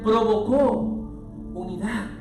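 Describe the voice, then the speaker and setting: A pastor preaching a sermon, swelling from quiet passages into loud, sung-out lines, with music playing underneath. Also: male voice, high-pitched, slow, roomy sound, far from the mic.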